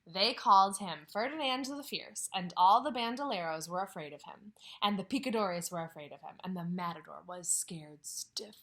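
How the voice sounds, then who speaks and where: A woman's voice: mid-range voice, normal speed, few pauses. A woman who teaches kindergarten, reading a picture book aloud at home and stopping to ask the children questions, sometimes dropping to a whisper. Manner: expressive storytelling with questions to children, drops to whispers. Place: at home, near a couch.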